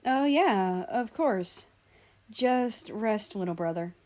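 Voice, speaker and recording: A woman voicing an excitable, easily startled young man, a teasing older sibling, in a story reading. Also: mid-range voice, slow pace. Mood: caring.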